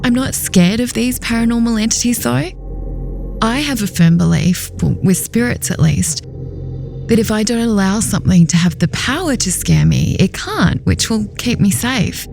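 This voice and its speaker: Higher-voiced woman narrating a horror story. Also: animated, moderate pace, vocal fry, music in the background. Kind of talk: scripted first-person narration.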